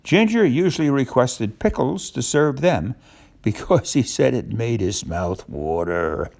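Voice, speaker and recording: Gravelly older man reading a storybook. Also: mid-range voice, lively intonation, unhurried, a little mellow. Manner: explanatory, with simple asides for a young child. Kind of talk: reading a children's storybook aloud with asides.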